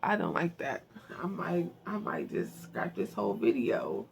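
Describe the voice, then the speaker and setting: A man reading a novel aloud in an informal, emotional, soft storytelling manner, now and then speaking in asides. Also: on the high side, huge pitch swings, a little slow, creaky, slightly rough. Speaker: amateur book reader and channel host.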